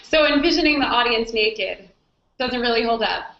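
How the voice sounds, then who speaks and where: A woman interviewer asks brisk, friendly questions. Her voice is bright and a little high. Her audio is thin and echoey, as heard over a video call. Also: expressive, muffled recording. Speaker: interviewer hosting a hangout.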